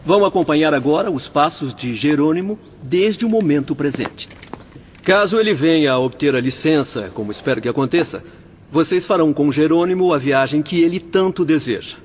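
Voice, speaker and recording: An actor playing a kindly, patient doctor in a spirit-world infirmary, courteous and reassuring, in an audiobook drama. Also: male voice, a little high, animated, a little fast, a little hiss or hum, lightly acted. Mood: calm, instructive. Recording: dull lo-fi audio, faint music behind.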